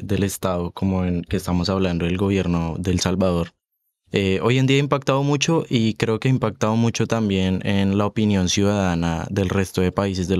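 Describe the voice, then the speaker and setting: A young man from Colombia with a Bogotá accent, a student guest speaking on a radio discussion in a halting way, full of fillers and restarts. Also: on the low side, a little mellow, dry recording. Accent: Bogotá Colombian Spanish. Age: young adult. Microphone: studio microphone.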